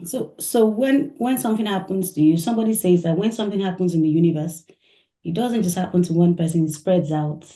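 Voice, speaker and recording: A woman from Nigeria speaking as an interview guest, soft-spoken and thoughtful, laughing often. Her voice is low and mellow. It rings in an echoey room. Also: brisk. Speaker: musician guest. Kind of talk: interview answers.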